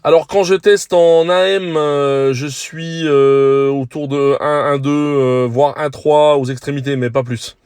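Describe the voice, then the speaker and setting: A man talking casually as an amateur radio operator, in a measured, technical way with hesitant pauses. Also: a little high, steady tone, a little mellow, clean tone, no echo. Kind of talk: casual two-way radio chat. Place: on a hilltop in the countryside.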